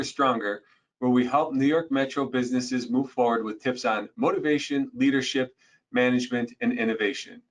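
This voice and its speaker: A man's voice: mid-range voice, rough recording. A man hosting a business podcast, introducing and questioning his guest in a friendly way with many fillers. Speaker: podcast host, company president.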